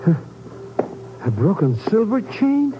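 An excitable little hustler of a radio sidekick, higher voiced and swinging all over the place. He garbles his slang comically, on a noisy old 1950s broadcast recording. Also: takes it slowly, muffled recording, full character voice. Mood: baffled, alarmed. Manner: slangy, muddled malapropisms. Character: comic, streetwise, excitable Casablanca sidekick with fractured English. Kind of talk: 1950s radio drama acting.

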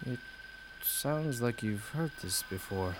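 A man's voice: mid-range voice, animated, slow, noisy background. An actor in a radio drama playing a chatty, eager scholarly man with a hesitant, fussy way of talking. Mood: intrigued, uneasy.

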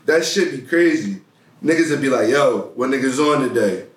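A young man talks in slangy, animated bursts. He sits away from the mic, so his voice sounds distant. The room echo is strong. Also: medium pitch, moderate pace. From Philadelphia. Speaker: guest rapper. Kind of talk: casual chat with friends. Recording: echoey room, heard from afar.

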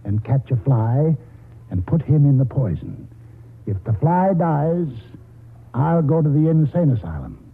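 A wily old American grandpa rambles in folksy rural talk, stubborn as can be. His husky voice rides high and swoops around, heard through a muffled 1940s radio broadcast. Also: very animated, a little slow, exaggerated voice. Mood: stubborn, bargaining. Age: older. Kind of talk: 1940s radio drama acting.

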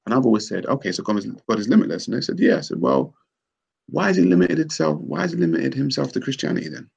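A man's voice: medium pitch, very animated, fast talker, creaky, darkish tone, slightly rough. A man hosting a casual conversation, skeptical and questioning, arguing with rhetorical questions.

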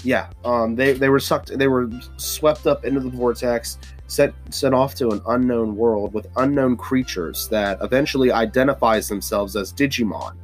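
A man's voice: mid-range voice, normal speed, few pauses, soft background music. A man hosting a casual solo podcast, stuffy-nosed and rambling with lots of fillers and restarts. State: stuffy nose. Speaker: podcast host. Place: hot humid home studio with fans running.

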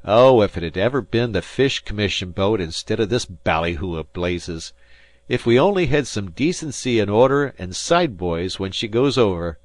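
A man's voice: on the low side, lively intonation, moderate pace, dry recording, lightly acted. A man voicing a scornful old navy sailor who blusters and sneers. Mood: sneering.

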